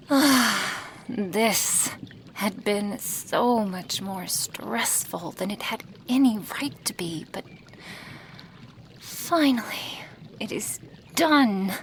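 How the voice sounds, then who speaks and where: A woman voicing an affectionate female dragon who brews potions, in a soft, intimate ASMR roleplay with breathy half-whispers and sighs. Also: medium pitch, slight background noise. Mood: relieved. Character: affectionate female dragon, a potion maker tending her human guest.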